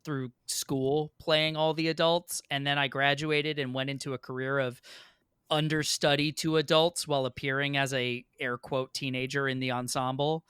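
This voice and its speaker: A young man, a guest actor on a podcast, chatting casually and telling anecdotes in an animated way, acting out both sides of exchanges. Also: medium pitch, normal speed, clear, resonant tone, no room sound, clean studio sound. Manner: animated storyteller, acts out exchanges.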